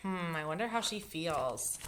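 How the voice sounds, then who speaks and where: Bright, very expressive woman reading storybooks. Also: on the low side, a little hiss or hum. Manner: animated, emphatic, drawn-out words for young listeners. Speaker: children's read-aloud host.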